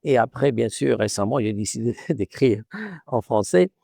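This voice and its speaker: A man's voice: medium pitch, normal speed, creaky, dark tone, dry recording, clean studio sound. A middle-aged man from Morocco whose first language is Arabic, an author and professor answering interview questions in a thoughtful way, often repeating words while he searches for the phrasing.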